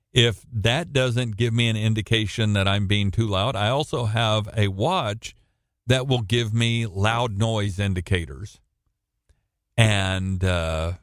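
American guy preaching, kinda booming low voice, halting with long pauses, really dry close recording. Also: dry recording. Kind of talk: Bible reading and informal teaching with personal anecdotes. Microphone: close studio-style microphone at a computer.

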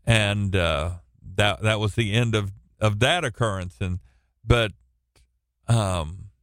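A man teaching through a Bible passage on the radio, with a loud, projecting voice and a halting delivery full of restarts and repeated words. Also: lowish voice, no echo, studio quality. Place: radio studio, alone.

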